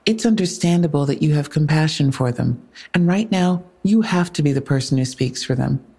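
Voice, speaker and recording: A woman playing a composed police officer in an audio drama, measured and polite but unyielding. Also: low voice, lively intonation, warm tone, slightly rough, full character voice. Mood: firm, composed. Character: firm, composed female police officer questioning a witness. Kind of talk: naturalistic audio drama dialogue.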